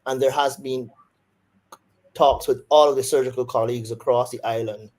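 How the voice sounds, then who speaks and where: A man's voice: medium pitch, steady tone, normal speed, darkish tone, poor audio. A man with a Barbadian accent, a surgeon answering interview questions thoughtfully, with frequent restarts.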